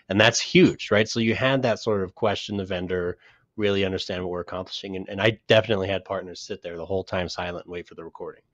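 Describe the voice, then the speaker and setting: Deep-voiced man, dry close sound. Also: fairly bright tone, dry recording, muffled recording. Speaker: co-host. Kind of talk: casual chat.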